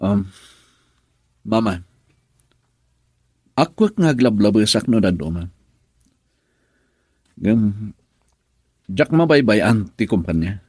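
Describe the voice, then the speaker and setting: A troubled husband and dutiful son-in-law in a Filipino radio drama. His voice is lowish and husky, earnest and brooding, with a dry studio closeness. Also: lively intonation, slow, pauses often, darkish tone, dry recording, exaggerated voice. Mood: polite, eager.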